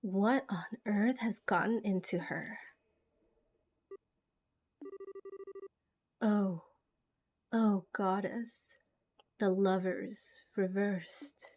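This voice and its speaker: A woman's voice: mid-range voice, slow pace, a little mellow. A woman voicing a teasing but concerned older-sisterly young woman in game cutscenes. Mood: bewildered. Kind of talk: amateur game cutscene voice acting. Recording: music behind the voice.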